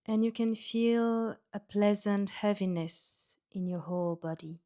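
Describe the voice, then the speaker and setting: Slow-paced woman guiding a meditation. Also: medium pitch. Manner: gentle, soothing, unhurried instruction with pauses. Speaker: yoga nidra guide. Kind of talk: guided meditation.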